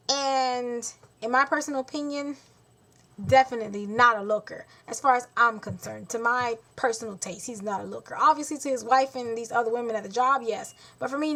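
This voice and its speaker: A woman's voice: on the high side, a bit bright. Blunt young woman venting to camera. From Haitian heritage. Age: 20s. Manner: blunt, exasperated, talks with her hands in quotes. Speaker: vlogger. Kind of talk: casual storytelling vlog.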